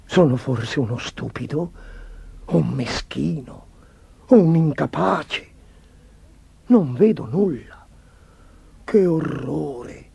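A timid and obsequious storybook chancellor, played by a man, with a soft-edged, husky voice and mellow tone. He is hesitant and anxious, always deferring to his master. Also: fairly high pitch, animated, takes it slowly, long pauses, full character voice. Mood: fearful, dismayed. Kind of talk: theatrical children's storybook acting.

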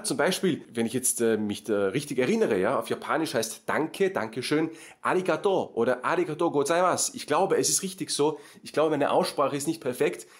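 Man, language teacher, calm voice-over, rephrases everything, medium pitch, acts out example questions. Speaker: German teacher. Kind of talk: slow explanatory lesson for learners.

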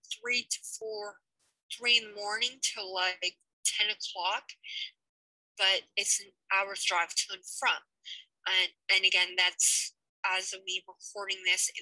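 A woman's voice: mid-range voice, bright, forceful tone, no room sound, poor audio. A young American woman leading a fan project, chatting casually over a headset in a small room with loose fillers. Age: young adult. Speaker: project lead of a fan dub. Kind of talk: casual video call update.

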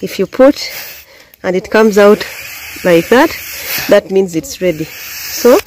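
A woman's voice: mid-range voice, animated, slow, darkish tone. Ugandan woman cooking outdoors, noisy camera audio. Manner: explains each step plainly, talking to viewers while working. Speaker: village cooking vlogger. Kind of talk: casual cooking demonstration. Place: outdoors by a wood fire among banana plants. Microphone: camera on the ground, distance changes as she moves.

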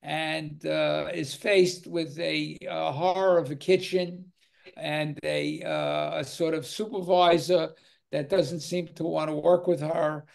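A man's voice: on the high side, fairly even intonation, slow pace, creaky, darkish tone. An older man moderating a film discussion on a video call, talking with many fillers. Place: home room with virtual cinema backdrop.

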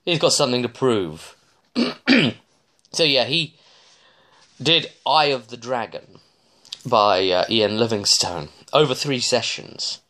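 A man in his thirties giving a casual, rambling recap as a game master, with halting, digressive phrasing and frequent restarts. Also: medium pitch, lively intonation, pushed and bright. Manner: halting, digressive, often restarting mid-sentence. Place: small living room, indoors.

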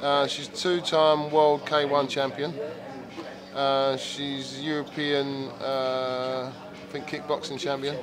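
Flat-voiced boxing coach. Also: male voice, mid-range voice, slow, a crowd in the background. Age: 50s. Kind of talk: casual interview.

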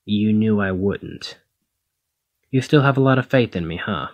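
A man playing a protective, conflicted demigod hero in a one-sided ASMR roleplay, speaking emotively and haltingly to the listener. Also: lowish voice, lively intonation, highs cut off. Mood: resigned, hurt. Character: brawny male demigod hero, protective, conflicted, exasperated lover.

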